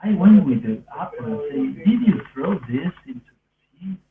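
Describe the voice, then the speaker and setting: A man's voice: on the high side, very animated, normal speed, a loud crowd. Podcast storyteller: male, Argentinian accent, native Spanish, earnest and meandering, thin phone-like audio, far from the mic. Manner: earnest, rambling, non-native English phrasing. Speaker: podcast speaker. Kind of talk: casual autobiographical storytelling. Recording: roomy, distant, phone-like thin sound.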